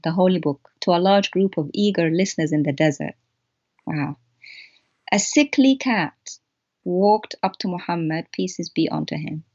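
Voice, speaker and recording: A woman's voice: lowish voice, darkish tone, muffled recording. A woman whose first language is Arabic, speaking English and retelling a story with theatrical mockery in an online discussion. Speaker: co-host of an online show.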